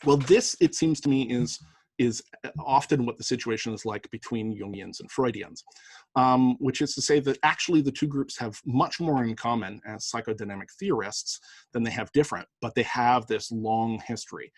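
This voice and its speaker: Canadian male lecturer, husky mid-pitched voice, chatty, storytelling, dry close recording. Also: moderate pace, no echo. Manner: conversational, anecdotal, lots of fillers and rhetorical "right?". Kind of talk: university lecture.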